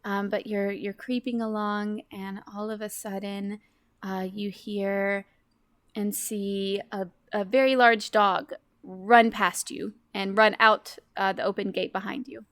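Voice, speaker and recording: A woman's voice: medium pitch, fairly even intonation, a little slow, fairly bright tone, no room sound. A woman improvising scene narration as a player in a tabletop roleplaying game.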